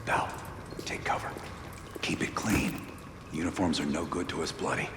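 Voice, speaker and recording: An actor playing a terse, hard-bitten covert operative in a video game, hushed and clipped. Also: male voice, very deep voice, steady tone, normal speed, noisy background. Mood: tense, hushed. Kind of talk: dramatic video game cutscene acting.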